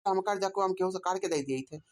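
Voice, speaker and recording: Woman as stressed awadhi village guy in a cartoon, complaining and justifying himself, middling pitch. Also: fast talker, darkish tone, lightly acted. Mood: troubled. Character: pleading, put-upon grown village son and husband. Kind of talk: cartoon comedy acting.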